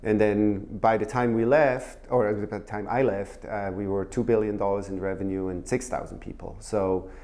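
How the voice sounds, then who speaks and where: A middle-aged man from Switzerland, a guest giving measured interview answers full of filler sounds. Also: on the low side, steady tone, dark tone. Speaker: guest, former finance executive.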